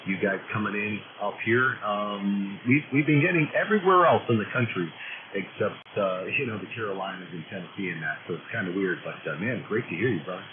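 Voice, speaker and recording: A man's voice: on the low side, animated, a little fast. A man chatting casually over two-way radio in a friendly, rambling, hesitant way. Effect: two-way radio transmission. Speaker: CB radio operator. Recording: noisy, narrow-band CB radio signal.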